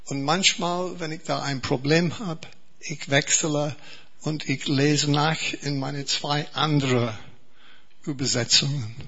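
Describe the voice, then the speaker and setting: An Englishman preaching in German, a second language learned alongside his native English, speaking slowly and earnestly with many repetitions and restarts. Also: a little high, steady tone, a bit bright, breathy edge, muffled recording. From England. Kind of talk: sermon.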